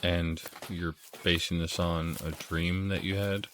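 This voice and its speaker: An actor playing a skeptical, cautious friend in an audio drama, questioning and doubtful. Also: male voice, low voice, level tone, a little slow, fairly bright tone, a little hiss or hum. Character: skeptical, cautious male friend urging retreat.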